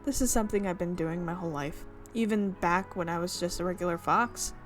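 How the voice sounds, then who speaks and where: A woman's voice: fairly low pitch, fairly quick, faint music behind. A young woman reading a visual novel aloud and tossing in casual, chatty comments and giggles. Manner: casual, chatty asides and giggles between narration. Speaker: let's play streamer.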